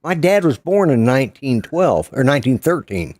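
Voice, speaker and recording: A man's voice: mid-range voice, sing-song, moderate pace, darkish tone, dry recording, clean studio sound. Folksy older man with an Oklahoma accent. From Colorado. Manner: folksy, blunt, swears casually. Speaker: livestream participant. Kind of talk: casual chat.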